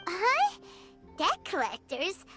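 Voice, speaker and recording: A tiny fairy sidekick from a video game. The voice is extremely high and squeaky, with lively swoops. She sounds childlike, inquisitive and excitable, over clear background music. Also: slow pace, full character voice. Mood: surprised, curious.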